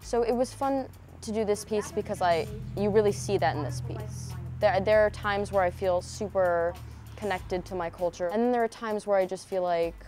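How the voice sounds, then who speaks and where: A woman's voice: mid-range voice, moderate pace, darkish tone, faint music behind. A young female student talking casually and reflectively about her heritage in an interview.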